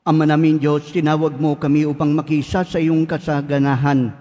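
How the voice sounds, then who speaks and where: Priest chanting Mass prayers in a church. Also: male voice, a little high, little pitch movement, warm tone. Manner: slow solemn liturgical recitation. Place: large church. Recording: echoey church hall.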